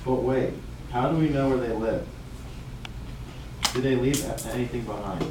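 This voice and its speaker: A man's voice: medium pitch, moderate pace, close to an everyday voice. A man playing a curious, easily confused schoolboy in a scripted puppet show. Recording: very echoey room, distant mic, clear noise.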